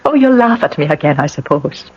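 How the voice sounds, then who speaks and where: A fretful, loving young Irish wife in an old radio play. Her voice is soft and breathy with an Irish lilt, lower and gentler, on a dull vintage recording. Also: very animated, a little fast, heavily acted. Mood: worried. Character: tender, anxious young Irish wife and mother. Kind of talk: radio drama acting.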